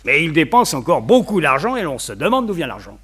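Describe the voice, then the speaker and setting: An actor playing a well-connected, opinionated society gentleman in a French radio detective play, talkative, confiding and wryly gossipy. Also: a little high, sing-song, a little fast, husky, full character voice. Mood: confiding, briefing.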